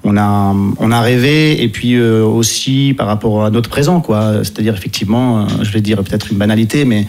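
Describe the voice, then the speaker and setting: A man's voice: fairly low pitch, a little fast, few pauses, darkish tone. A man in his thirties from Italy, speaking as an interview guest in a casual, meandering way and often rephrasing as they go. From Italy, born to French parents. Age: 30s. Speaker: interview guest, actor and stage director.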